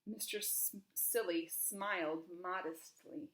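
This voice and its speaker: A woman's voice: lowish voice, steady tone, slow, fairly bright tone. A woman reading a children's storybook aloud expressively, with emphasis and questions put to young listeners. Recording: roomy, echoing room.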